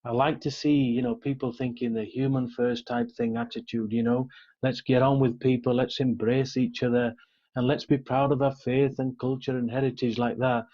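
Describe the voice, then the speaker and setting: A middle-aged Yorkshireman talks earnestly as an interview guest. His voice is soft-edged and mellow, with a husky grain. The sound is dull and lo-fi. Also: mid-range voice, flat delivery, moderate pace. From Yorkshire, England. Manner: frequent "you know" and "sort of". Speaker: interview guest, police officer.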